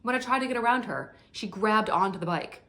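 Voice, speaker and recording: A woman's voice: medium pitch, a little fast, bright, forceful tone. A woman performing a theatrical monologue as a breezy, self-absorbed party guest who rambles dramatically. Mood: indignant.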